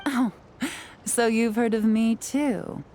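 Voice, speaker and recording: A woman voicing a poised, sly female spy who talks with smooth, teasing politeness in a video game. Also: on the high side, fairly even intonation, slow pace, mellow, slight background noise.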